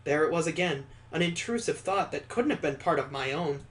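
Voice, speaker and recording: Guy with kinda high voice doing a lets play, reading visual novel text out loud n making dry jokey remarks. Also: expressive, fairly quick. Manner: wry, joking asides between read passages. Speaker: gaming host reading a visual novel aloud. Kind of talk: let's play read-aloud with ad-libbed commentary.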